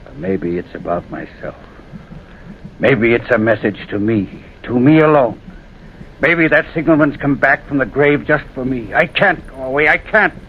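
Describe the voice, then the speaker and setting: A man's voice: mid-range voice, animated, normal speed, close to an everyday voice. An American actor playing a haunted railroad signalman, fraught and insistent, obsessed with a ghost, in old-time radio drama style. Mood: anguished, urgent. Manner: fraught, intense, repeats phrases insistently. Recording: muffled, noisy vintage radio recording.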